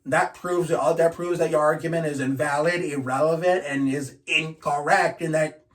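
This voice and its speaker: A man's voice: a little high, fairly even intonation, moderate pace, recorded from a distance. A man ranting casually to his channel, blunt and profane, rambling with frequent restarts. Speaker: YouTuber. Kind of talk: casual vlog rant.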